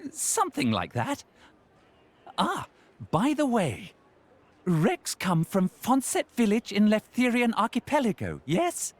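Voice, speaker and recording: An actor voicing a little creature clerk who speaks in broken grammar, searching for words. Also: male voice, sits high, huge pitch swings, slow pace, exaggerated voice. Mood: eager. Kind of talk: video game voice acting.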